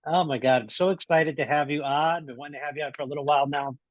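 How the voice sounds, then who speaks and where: A man's voice: on the high side, quick pace, dry recording, dull audio. A man hosting a podcast interview, upbeat and rambling, often restarting his sentences. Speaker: podcast host.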